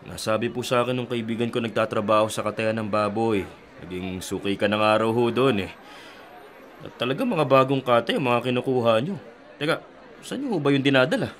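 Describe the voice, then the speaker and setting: Actor as a young male cop, respectful son, friendly, matter-of-fact, medium pitch, radio drama. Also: fairly quick, some chatter behind, slight background noise, lightly acted. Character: young male police officer, dutiful son.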